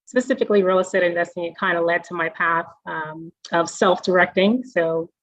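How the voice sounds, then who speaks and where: A young woman from the Bahamas, a guest answering interview questions in a friendly, measured way with frequent fillers over a video call. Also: on the low side, fairly even intonation, a bit bright, no room sound. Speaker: guest, self-directed IRA specialist. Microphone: video call mic. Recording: dull, dry video call audio.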